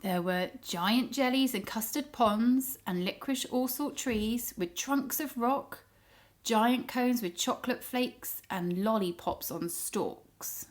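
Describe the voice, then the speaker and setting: A woman reading a story aloud to young children in an expressive storytelling style. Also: on the high side. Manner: pauses to ask the child listeners questions.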